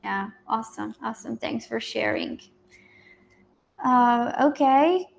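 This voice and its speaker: Higher-voiced woman, encouraging call coach. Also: takes it slowly, vocal fry, a little hiss or hum. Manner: encouraging, affirming. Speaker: coach leading a group call. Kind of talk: informal online coaching. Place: online video group call.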